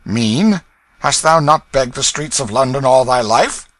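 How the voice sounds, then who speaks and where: A man's voice: mid-range voice, lively intonation, unhurried, a bit bright, no echo, pitched above the performer's own voice. A man doing a sly, bullying beggar-thief. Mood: mocking scorn. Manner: scornful, wheedling, bullying. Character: sly wheedling young male beggar-thief.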